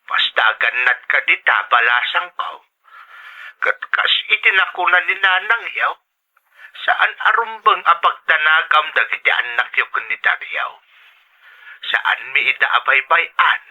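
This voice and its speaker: A man's voice: fairly high pitch, lively intonation, unhurried, pushed and bright, full character voice. A man playing a protective, understanding older father-in-law over a phone filter in an audio drama. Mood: supportive, protective. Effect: telephone filter. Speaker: voice actor. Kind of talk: Ilocano audio drama acting. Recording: muffled lo-fi phone line.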